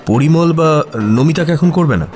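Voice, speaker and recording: A man's voice: on the high side, expressive, moderate pace, a little mellow, natural voice. A man playing a detective's eager, inquisitive companion who also narrates the audio story expressively. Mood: curious, puzzled. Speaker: narrator and sidekick character. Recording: music behind the voice.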